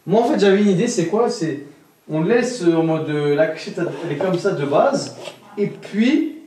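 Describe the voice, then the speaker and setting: A young man vlogging casually at home, excitable and chatty, talking straight to his viewers and laughing often. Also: high-pitched, normal speed, a little hiss or hum. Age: young adult. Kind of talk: casual vlog to camera. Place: indoor bedroom at home. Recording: roomy echo, voice from off-mic.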